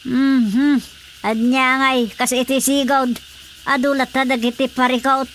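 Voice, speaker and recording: An older village wife with an Ilocano accent, gossipy yet reassuring. Her voice is fairly high, in radio drama style, with a faint layer of noise behind it. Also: little pitch movement, a little slow, fairly bright tone. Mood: concerned.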